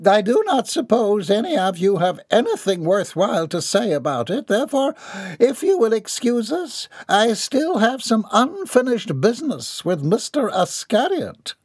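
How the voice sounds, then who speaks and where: A man's voice: sits high, husky, dry recording, pitched above the performer's own voice, exaggerated voice. A man voicing an imperious, unashamed old aristocratic baroness who speaks formally and with authority.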